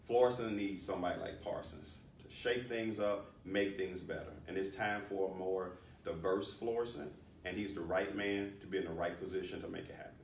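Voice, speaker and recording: A man's voice: low voice, fairly even intonation, fairly quick. A man delivering a political endorsement in an assured, declarative way. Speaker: alderman, campaign supporter. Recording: echoey room, distant mic, faint noise.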